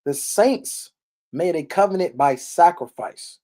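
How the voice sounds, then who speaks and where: A man's voice: fairly high pitch, expressive, takes it slowly, mellow. A man giving an informal, verse-by-verse Bible teaching, emphatic and blunt, often checking in with short questions and tags. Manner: blunt, salty language. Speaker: Bible teacher on a live stream. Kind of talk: informal sermon, verse-by-verse read-along commentary.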